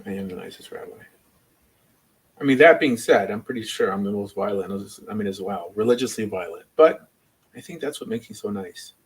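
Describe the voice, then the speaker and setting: A man's voice: lowish voice, lively intonation, brisk, breathy edge. A man speaking casually as a rabbi and livestream host, rambling and opinionated in an informal way. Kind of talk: casual livestream chat and debate.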